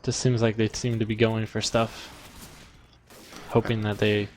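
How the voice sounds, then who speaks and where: A man's voice: lowish voice, little pitch movement, unhurried, a little mellow. A man co-casting a video game match with casual analysis and tentative, conversational explanations. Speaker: esports co-caster.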